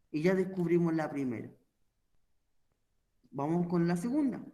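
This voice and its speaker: A man's voice: high-pitched, darkish tone. A man explaining an online math lesson, patiently walking through each step and checking in often with his listeners. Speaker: math teacher.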